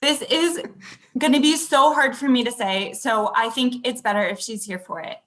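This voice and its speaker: A fairly high-voiced young woman played by a woman at a table read. She is a hurt girlfriend, confrontational and frank, in a naturalistic relationship script. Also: moderate pace, a bit bright, close to an everyday voice. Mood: upset, frank. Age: young adult. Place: small room at home, video call. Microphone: computer video-call mic.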